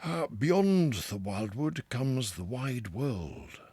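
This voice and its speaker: A man voicing a hearty, self-assured male water rat who talks loudly and with relish. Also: mid-range voice, very slow, husky, no echo, pitched above the performer's own voice, full character voice. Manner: loud, confident, lively, with chuckles.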